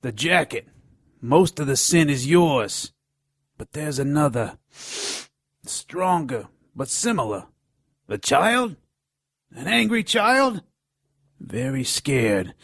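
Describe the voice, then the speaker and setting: A seedy gnome informant in an audio drama. The voice is husky and full of fry, at an unhurried pace. He leers and wisecracks with sardonic relish. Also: fairly high pitch, big pitch swings, fairly bright tone, no room sound, studio quality, heavily acted. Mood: intense, sly. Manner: leering, wisecracking. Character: lecherous, sardonic gnome informant with keen supernatural nose.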